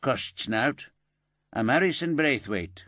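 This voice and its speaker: A man's voice: medium pitch, animated, slow pace, no room sound, clean studio sound, voice pushed up. A man doing an old ex-miner. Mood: friendly, then shocked. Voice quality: broad dialect, gentle and plain-spoken. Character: elderly male ex-miner, warm, grieving bird keeper.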